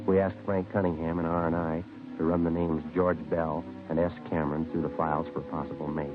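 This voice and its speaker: A low-voiced, no-nonsense American detective reports his case in clipped, factual phrases. The sound is thin, vintage radio drama with faint music behind it. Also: narrow, tinny audio. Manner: clipped, deadpan, matter-of-fact. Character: terse, businesslike male police sergeant narrating his case. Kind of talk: 1950s radio crime drama acting and narration.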